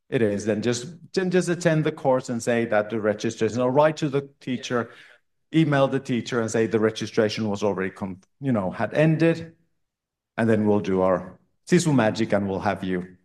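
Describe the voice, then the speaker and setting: A man's voice: on the low side, expressive, moderate pace, a little mellow. A man on university staff answering student questions in a casual, chatty way, often restarting his sentences. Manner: loose and chatty, with frequent restarts. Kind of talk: casual Q&A session. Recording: echoey room.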